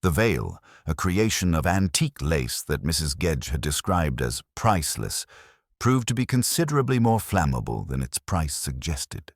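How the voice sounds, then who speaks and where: Very deep-voiced male storyteller. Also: big pitch swings, dry recording. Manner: measured, literary storytelling with dry irony. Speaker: audiobook narrator. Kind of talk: scripted narration of a mystery story.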